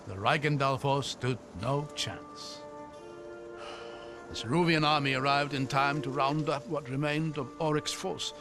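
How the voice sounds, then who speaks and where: An actor playing a composed, caring, gently wry older ally in a video game cutscene, speaking in a measured way. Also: male voice, on the high side, natural voice. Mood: grave.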